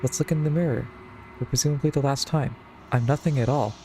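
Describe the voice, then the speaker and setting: A man's voice: mid-range voice, fast talker, warm tone, slight background noise. A man streaming a video game, casually reading choices aloud in an understated, laconic way. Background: faint game music.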